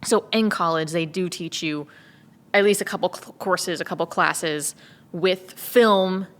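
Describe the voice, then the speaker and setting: A woman's voice: lowish voice, unhurried, a bit bright. A woman from the United States chatting casually as a cast member, with a reverberant sound. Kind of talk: casual chat.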